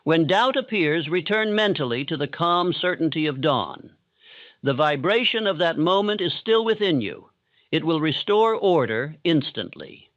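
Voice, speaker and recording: Male sleep-audiobook narrator, fairly high register, steady and formal, very dry acoustic. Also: no echo, dull audio. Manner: calm, steady, measured rhythm. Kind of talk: scripted self-help narration for sleep.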